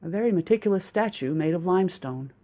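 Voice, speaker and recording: A man's voice: high-pitched, expressive, quick pace, mellow. A man narrating a first-person story in a dry, wry tone. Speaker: audiobook narrator. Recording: dull lo-fi recording.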